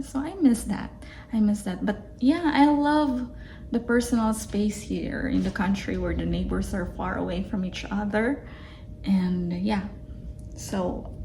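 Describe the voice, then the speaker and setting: Filipina vlogger lady, slow easy talk, lots of ums and restarts, a bit of hiss behind. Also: medium pitch, mellow, poor audio. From Philippines. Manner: chatty, lots of fillers and restarts, laughs easily. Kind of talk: casual self-reflective vlog.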